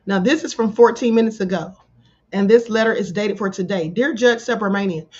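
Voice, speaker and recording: A woman hosts a casual live stream, her voice a little hoarse. She reels off viewers' names in a relaxed, chatty way. The audio is dull and slightly lo-fi. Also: mid-range voice, brisk. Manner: greets chat viewers by name. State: hoarse, sore throat. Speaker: livestream host. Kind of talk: casual livestream chat.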